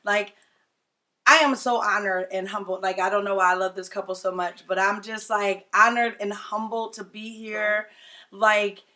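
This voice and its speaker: A woman's voice: mid-range voice, fairly even intonation, moderate pace, sharp tone. A woman co-hosting a couples' show, bubbly and chatty, with many "like"s. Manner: bubbly, lots of "like". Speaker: podcast co-host. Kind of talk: casual interview chat.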